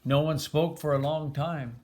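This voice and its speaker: Older guy reading a kids book out loud like a grandpa, voice a bit on the high side, expressive. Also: measured pace. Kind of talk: storybook read-aloud.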